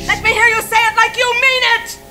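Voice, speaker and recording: A woman's voice: extremely high pitch, level tone, brisk. An actress playing a fanatical religious woman who rants and chants doom-laden preaching in a radio drama. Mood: frenzied. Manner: ranting, chanting fire-and-brimstone preaching. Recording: echoey, distant, loud music and crowd behind.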